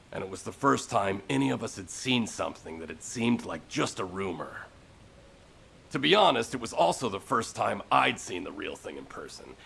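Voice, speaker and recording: An actor playing a blunt, battle-worn squad leader in a video game, with a gravelly, raspy voice and a weary storytelling manner. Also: male voice, fairly low pitch, bright, forceful tone, full character voice. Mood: bitter, recounting. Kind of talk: video game cutscene acting.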